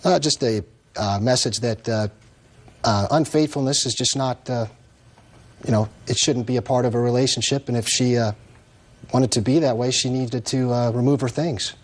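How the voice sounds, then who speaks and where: A man defending himself in court, hesitant and halting, giving short answers. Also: mid-range voice, fairly even intonation. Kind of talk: courtroom testimony. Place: TV courtroom set.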